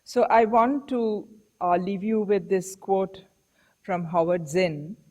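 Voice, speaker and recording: A woman professor giving a measured, reflective public lecture, posing rhetorical questions to the audience. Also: medium pitch, fairly even intonation, a little mellow. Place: lecture hall.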